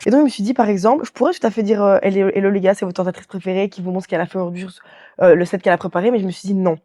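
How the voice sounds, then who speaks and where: Fast-talking young woman, soft tone. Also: mid-range voice, steady tone. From Belgium. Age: 20s. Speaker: interview guest, DJ. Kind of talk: casual chat, anecdotal storytelling.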